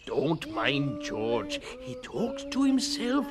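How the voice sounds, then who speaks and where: An actor playing a stern but caring old German farmer with a German accent, speaking earnest, halting, broken English in a 1950s radio drama. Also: male voice, a little high, wildly swooping pitch, exaggerated voice. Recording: hissy old radio recording.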